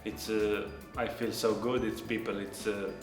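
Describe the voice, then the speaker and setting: A man from Croatia, a culinary student and chef giving an interview testimonial in halting, simple English, often repeating words. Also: on the low side, level tone. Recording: echoey room with background music.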